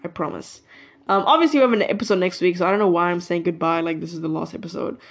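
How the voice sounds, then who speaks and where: A woman's voice: lowish voice, fast. A nineteen-year-old woman hosting her solo podcast, chatting casually and rambling, breaking off her own sentences. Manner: rambling, chatty, self-interrupting. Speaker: podcast host. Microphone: mic plugged into a phone.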